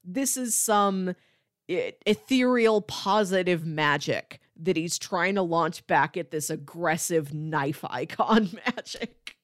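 Woman podcast co-host, lowish voice, dry close sound, casual and animated reviewer who acts out quoted lines. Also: slow pace, dry recording. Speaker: podcast co-host discussing comics. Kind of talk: casual chat, book review.

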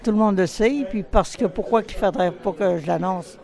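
A woman's voice: mid-range voice, moderate pace, vocal fry, mellow. An older woman with a Québécois French accent speaking in a spirited, outspoken way.

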